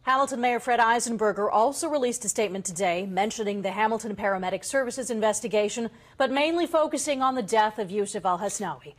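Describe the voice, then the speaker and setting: A woman's voice: medium pitch, fast, hardly any pauses. A woman anchoring a TV newscast, reading scripted news.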